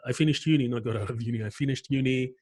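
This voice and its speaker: A man from Nigeria speaks as a podcast guest. His voice sits at a middling pitch, and his storytelling is reflective and unhurried, dotted with soft "yeah"s. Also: slightly rough. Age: adult. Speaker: guest, agile marketing consultant. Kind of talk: conversational interview.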